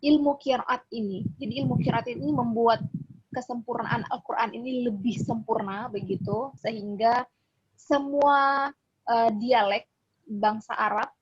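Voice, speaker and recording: A woman with a husky voice, teaching informally as a religious teacher and often checking that she is followed. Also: fairly high pitch, steady tone, unhurried. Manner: conversational, often checking for agreement. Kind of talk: informal explanatory online lesson. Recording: dull lo-fi audio.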